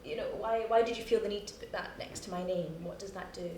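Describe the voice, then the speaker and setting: A young woman with a Scottish accent, a playwright chatting in a post-show discussion, self-mocking and quick to laugh. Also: medium pitch, fairly even intonation, a little mellow. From Scotland. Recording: echoey room, distant mic, noisy.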